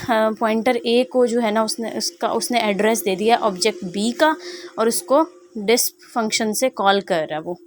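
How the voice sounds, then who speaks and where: A woman teaching programming in a screen-recorded tutorial, reading code output slowly word by word and asking rhetorical questions. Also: on the high side. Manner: rhetorical questions, frequent "ठीक है" check-ins. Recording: faint background noise.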